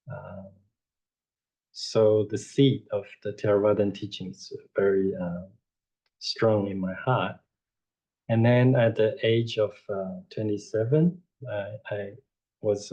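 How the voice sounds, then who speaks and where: A man gives an informal dharma lecture over a video call, unhurried and rambling, with frequent "you know" and self-corrections. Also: fairly low pitch, warm tone. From Taiwan. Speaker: Buddhist teacher giving a talk. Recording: dull lo-fi online audio.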